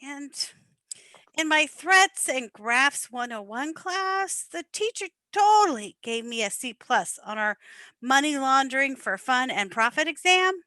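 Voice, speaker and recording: Woman as whiny high-pitched mobster's daughter. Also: sing-song, measured pace, sharp tone, dry recording, full character voice. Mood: whiny, entitled. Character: whiny, entitled college-age mobster's daughter. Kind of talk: amateur old-time radio comedy play.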